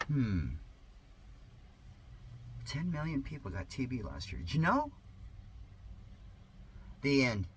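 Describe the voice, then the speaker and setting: A man reading an article aloud in a sarcastic, theatrical manner, with drawn-out emphasis and muttered asides. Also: mid-range voice, huge pitch swings, slight background noise. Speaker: amateur reader of an article.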